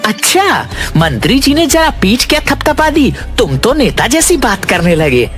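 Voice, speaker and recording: A man's voice: very high-pitched, big pitch swings, measured pace, a bit bright, faint music behind, exaggerated voice. A man voicing a greedy, scornful father who sells sweets in a village, in a Hindi cartoon. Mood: angry, scornful. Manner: grumbling, scornful. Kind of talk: Hindi moral-story cartoon acting.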